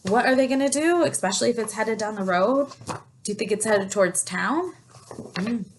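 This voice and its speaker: A woman's voice: fairly high pitch, a little hiss or hum. Woman reading a story aloud to children. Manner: animated, pauses to question young listeners and explain words. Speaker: teacher reading a picture book aloud to children. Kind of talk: expressive read-aloud storytelling.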